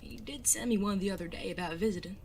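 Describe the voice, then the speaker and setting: A woman's voice: mid-range voice, fast, vocal fry, darkish tone, slight background noise, natural voice. A woman playing an anxious, earnest bandit son in an audio drama, speaking politely and formally with some hesitation.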